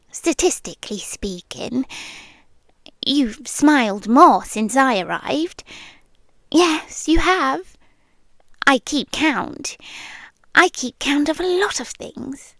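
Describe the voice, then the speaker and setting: A British woman playing a possessive, teasing girlfriend spirit who lives in the listener's head, in soft, breathy, intimate ASMR roleplay that often drops to a whisper. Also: a little high, dry recording. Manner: soft, breathy, slow, deliberate pauses. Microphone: close microphone, dry.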